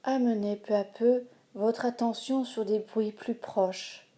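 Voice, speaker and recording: A woman leading a guided yoga nidra relaxation as the instructor, giving calm, measured, soothing instructions with pauses. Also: on the high side.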